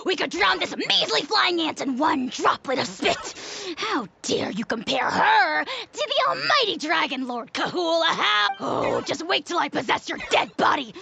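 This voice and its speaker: An actor voicing a small, boastful dragon lord spirit who shouts grand threats. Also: male voice, extremely high pitch, big pitch swings, moderate pace, vocal fry, few pauses, crowd noise, full character voice. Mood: ranting.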